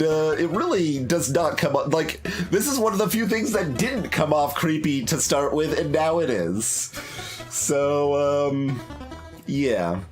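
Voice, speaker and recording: Man thinking aloud over soft guitar music. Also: on the high side. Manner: hesitant, analytical, thinking aloud. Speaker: video game streamer doing a blind playthrough. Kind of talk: casual commentary and reading poems aloud. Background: soft plucked guitar music.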